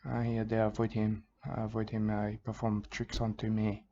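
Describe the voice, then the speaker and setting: A man voicing a rambling, soft-spoken bushman who mumbles and keeps repeating himself in a parody of soothing wildlife narration. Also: on the low side, monotone, moderate pace, a little hiss or hum, lightly acted. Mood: hushed rambling. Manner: hushed, mumbling, repeats "mate" and "I can tell you". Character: rambling male Aussie bushman, soft-spoken, plotting revenge. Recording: dull lo-fi recording.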